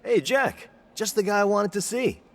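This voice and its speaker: A man's voice: sits high, animated, moderate pace, fairly bright tone. An actor playing a hearty, welcoming townsman in a video game, friendly in manner. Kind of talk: video game cutscene acting.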